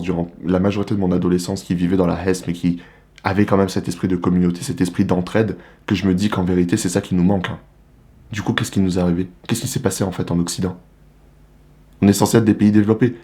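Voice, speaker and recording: Animated young man, slangy monologue. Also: deep voice, quick pace. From Republic of the Congo. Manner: animated, slangy, rhetorical questions. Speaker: vlogger. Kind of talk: casual monologue to camera.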